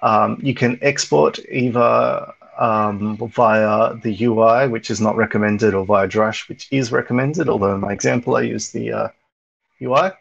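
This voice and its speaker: Gravelly man giving a rambling tech talk. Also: medium pitch, fairly even intonation, unhurried, muffled recording. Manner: rambling, lots of ums and restarts. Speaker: meetup presenter. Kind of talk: casual conference talk over slides.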